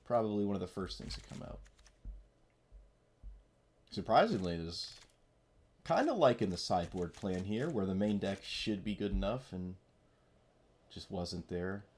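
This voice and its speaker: A man's voice: low voice, lively intonation, unhurried, pauses often, darkish tone, breathy edge. Congested man rambling over a card game. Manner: mumbling, rambling, self-deprecating. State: nasal from a cold. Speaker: streamer. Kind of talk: casual gameplay commentary.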